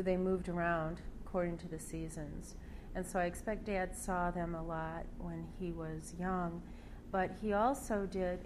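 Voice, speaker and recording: An older woman with a soft voice, reflecting on family memories in an interview, speaking haltingly with many fillers and restarts. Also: on the low side. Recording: clear background noise.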